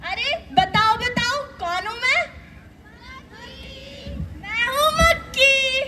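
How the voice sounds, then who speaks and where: Proud corn character girl acting, very slow recited lines, school play, far from mic. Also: extremely high pitch, animated, pushed and bright, slight background noise, full character voice. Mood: proud, riddling. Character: proud, riddling maize grain, defending herself. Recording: distant mic in live hall.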